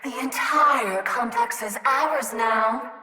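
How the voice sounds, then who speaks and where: Menacing female machine, game; bright, slow, reverbed with faint music. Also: fairly high pitch. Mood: possessive. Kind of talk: video game character dialogue.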